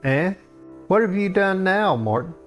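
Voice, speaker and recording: A man acts out an elderly priest in a comic fantasy storybook. He is bossy and unflappable, speaking in old-fashioned, matter-of-fact phrases. His pitch moves around a lot, with faint music underneath. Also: lively intonation, a little slow.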